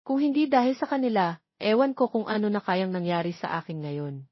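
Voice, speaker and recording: A woman's voice: medium pitch, brisk, dry recording, lightly acted. A woman voicing a grateful, tender young bride, earnest and affectionate, in an audio story. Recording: dull lo-fi audio, very dry.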